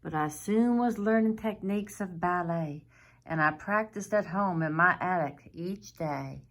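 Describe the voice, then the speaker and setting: A woman's voice: on the low side, a little slow, pitched below the performer's own voice. A woman voicing a little zombie ballerina girl, dramatic and eager, in a theatrical children's storybook reading. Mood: dramatic, spooky.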